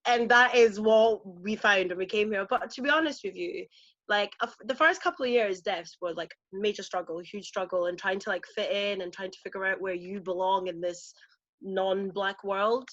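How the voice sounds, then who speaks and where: A woman's voice: medium pitch, normal speed, fairly bright tone, no room sound, dull audio. A woman chatting casually on a podcast in a candid, emphatic way.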